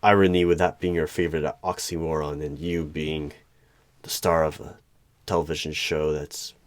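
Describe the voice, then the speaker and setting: A man playing an eerie, probing hiring manager in a one-sided ASMR interview roleplay, speaking deliberately with pauses between questions. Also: rumbling low, level tone, dry recording. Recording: background noise with keyboard typing and clicks. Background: computer keyboard typing.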